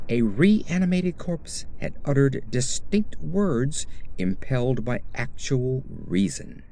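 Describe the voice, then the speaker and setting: A man narrating a horror story in a theatrical, measured, emphatic style. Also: medium pitch, warm tone. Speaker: audiobook narrator. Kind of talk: dramatic scripted horror narration.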